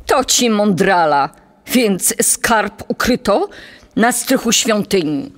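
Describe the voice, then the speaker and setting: A woman playing a blacksmith's sharp-tongued, greedy wife in a radio play, scolding and theatrical. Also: medium pitch, expressive, slow. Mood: mocking.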